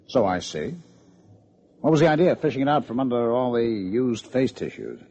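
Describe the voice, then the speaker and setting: An American actor playing a dry, needling police lieutenant with a sardonic manner in an old-time radio detective drama. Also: male voice, mid-range voice, very animated, normal speed, warm tone. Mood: puzzled, investigating. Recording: muffled old radio recording.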